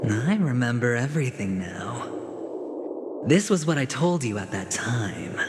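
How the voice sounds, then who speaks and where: An actor playing a smugly cordial, scheming gambler in a video game, with a theatrical, teasing manner. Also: male voice, medium pitch, expressive, very noisy, natural voice. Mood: teasing, ominous. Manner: smooth, teasing, theatrical, with deliberate pauses. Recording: loud game music behind the voice. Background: loud music.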